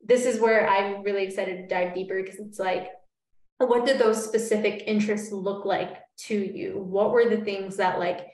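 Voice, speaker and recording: A young woman in her twenties hosting a podcast, eagerly jumping in with quick questions. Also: mid-range voice, fairly even intonation, darkish tone. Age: 20s. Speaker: podcast host. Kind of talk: casual interview chat. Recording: echoey room.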